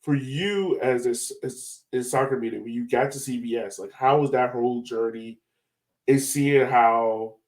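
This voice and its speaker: A man's voice: mid-range voice, normal speed, darkish tone, low-quality recording. A man in his thirties with an American accent hosting a casual podcast chat in a rambling, jokey, slangy way. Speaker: podcast host, interviewer.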